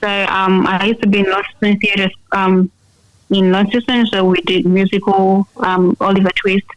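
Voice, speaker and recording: A woman's voice: mid-range voice, level tone, moderate pace, no room sound, muffled recording. A woman singer-songwriter talking casually in a radio interview, switching between English and another language.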